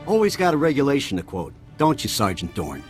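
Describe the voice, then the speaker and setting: A snide colonel, grumbly and sardonic, pretty average pitch, game music loud behind him. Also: male voice, huge pitch swings, a little fast. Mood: scornful. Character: sarcastic, dismissive middle-aged male army colonel. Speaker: regimental colonel.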